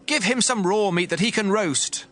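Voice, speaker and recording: An actor playing an insolent priest's servant who makes demands and threats in a dramatized scripture reading. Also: male voice, high voice, moderate pace, fairly bright tone. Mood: demanding, threatening.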